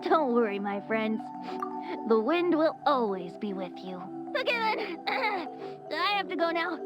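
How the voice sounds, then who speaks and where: Boyish game bard: high, lively melodic voice, breezy, lazy, a bit congested, music behind. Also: female voice. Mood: gentle, wistful. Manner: breezy, hesitant, drawn-out. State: congested with sneezy allergy. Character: carefree young male bard, lazy and gently philosophical. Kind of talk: video game voice acting.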